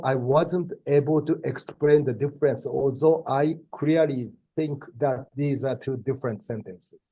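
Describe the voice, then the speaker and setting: A man's voice: a little high, warm tone, highs cut off. Japanese-accented older man lecturing. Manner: thoughtful, halting, frequent fillers and restarts. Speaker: university professor giving a lecture. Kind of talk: lecture with personal anecdotes.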